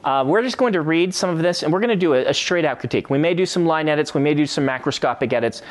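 A man's voice: on the high side, animated, quick pace. A man hosting a podcast and talking casually through a critique as a writer.

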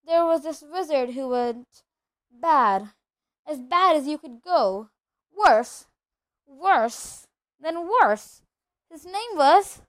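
A woman voicing a gruff but kind-hearted giant man with folksy, emphatic speech in a storybook reading. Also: high voice, expressive, a little slow. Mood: grave, uneasy.

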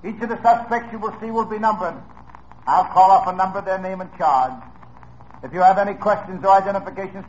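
An actor playing a stern police sergeant addressing a lineup audience in a 1950s radio drama, formal and commanding. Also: male voice, sits high, a little hiss or hum, lightly acted. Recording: dull lo-fi old radio broadcast.

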